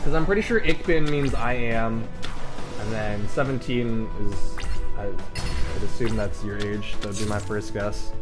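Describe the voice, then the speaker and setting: A man's voice: lowish voice, unhurried, over music. A man streaming a card game, chatting casually with viewers and rambling between plays.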